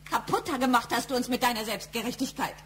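An actress as a fervent, higher-voiced wounded wife. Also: female voice, fast talker, a little hiss or hum, lightly acted. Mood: accusing. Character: emotional, long-suffering wife, fiercely loyal to her husband. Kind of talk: German radio crime drama acting.

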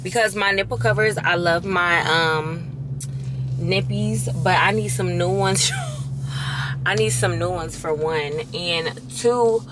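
A woman's voice: fairly low pitch, slow. A young woman, chatting casually to her viewers as a vlogger. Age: young adult. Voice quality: raspy. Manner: chatty, addresses viewers as y'all. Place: inside a car. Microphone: camera at arm's length on the dashboard. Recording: car cabin with road noise.

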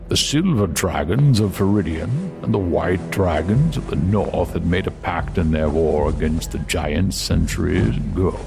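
A man playing a shrewd dragon counsellor in a fantasy audio drama, speaking in a deliberate, measured way with pauses. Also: deep voice, gravelly, heavily acted. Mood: musing.

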